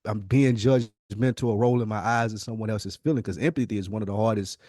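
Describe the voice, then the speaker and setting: A man's voice: lowish voice, brisk, darkish tone, no room sound, studio quality. A man co-hosting a podcast, giving his opinions casually in blunt, slangy talk with some swearing. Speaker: podcast co-host.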